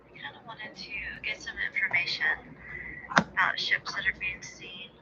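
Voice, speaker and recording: A woman speaking softly, often in a whisper, during a recorded meditation session. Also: medium pitch, steady tone, normal speed. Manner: soft, hushed, often whispering. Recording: dull lo-fi playback.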